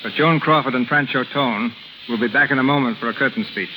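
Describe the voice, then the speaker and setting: A man's voice: medium pitch, quick pace, background noise. A man hosting a 1930s radio program with scripted, old-time announcing. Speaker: radio show host.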